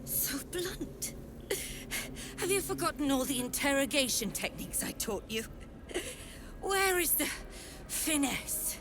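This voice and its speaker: A woman playing a haughty, menacing middle-aged mother superior of a dark cult in a fantasy video game, speaking imperiously with a cold, taunting edge. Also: high-pitched, very animated, background noise, full character voice. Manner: imperious, deliberate, coldly taunting. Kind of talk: theatrical video game dialogue.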